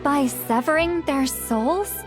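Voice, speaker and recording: A woman's voice: high-pitched, slow. A woman voicing a cocky, laid-back, easily irked female tracker in a video game, casual and wry. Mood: pensive. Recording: music behind the voice.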